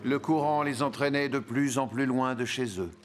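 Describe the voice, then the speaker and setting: A man's voice: medium pitch, normal speed, faint music behind. A man reading scripted narration for a children's cartoon.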